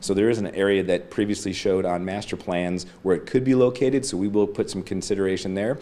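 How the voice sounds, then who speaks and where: A man's voice: low voice, fairly even intonation, brisk, warm tone. A man speaking as an airport official, giving explanatory answers in an interview.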